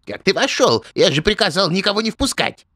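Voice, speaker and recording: A man's voice: sits high, big pitch swings, brisk, no echo, exaggerated voice. A man voicing a bossy, threatening rooster gangster boss in an adult cartoon. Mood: irritated. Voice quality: gravelly, sharp.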